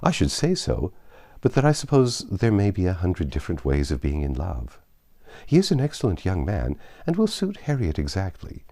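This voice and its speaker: A man's voice: low-pitched, wildly swooping pitch, moderate pace, dark tone, full character voice. A man reading a storybook in the part of a confident young lady with a wry, reasoning manner.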